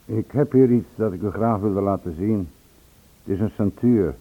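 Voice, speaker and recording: An actor playing a deferential junior policeman, reporting deliberately and respectfully in a radio play. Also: lowish voice, faint music behind. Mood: earnest, respectful. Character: deferential subordinate policeman reporting to his inspector. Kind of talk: Dutch radio play dialogue. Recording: tinny band-limited old radio recording.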